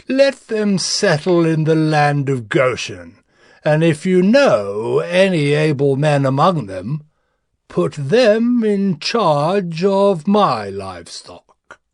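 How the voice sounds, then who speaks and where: Pharaoh for scripture drama: regal ruler, deliberate, higher-pitched male voice, very animated intonation. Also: no echo, clean studio sound. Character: regal, commanding king of ancient Egypt. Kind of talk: dramatized scripture audio acting.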